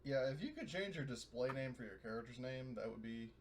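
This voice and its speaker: A fast-talking guy in a voice-chat game night, faint hiss and clicks around his words. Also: medium pitch, animated. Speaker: player in a tabletop game. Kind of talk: casual chat. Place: online voice call. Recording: noisy mic with keyboard clicks.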